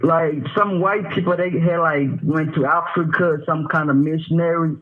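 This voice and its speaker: A man in his twenties from Tanzania calls in over a tinny phone line. His voice sits fairly high, and he rambles and halts through his story with many fillers. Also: fairly even intonation. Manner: rambling, halting, lots of fillers. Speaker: caller.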